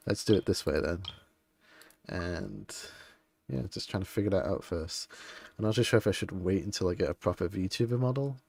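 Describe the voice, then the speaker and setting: Low-key man rambling on a livestream. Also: normal speed, vocal fry, no room sound. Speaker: livestreamer playing a game. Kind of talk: casual stream chat. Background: soft game music.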